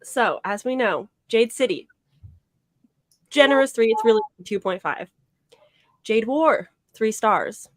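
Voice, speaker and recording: A woman's voice: fairly high pitch, animated, slow pace, long pauses, no room sound. A woman giving her opinions casually as a book club guest on a video call. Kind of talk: casual book discussion chat. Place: indoor room with bookshelves, video call.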